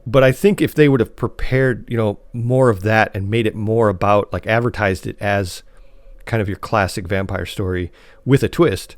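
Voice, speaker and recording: A man's voice: fairly low pitch, normal speed, no room sound. A man co-hosting a podcast, chatting casually through a review in a hesitant, rambling, conversational way. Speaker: podcast co-host reviewing post-apocalyptic media.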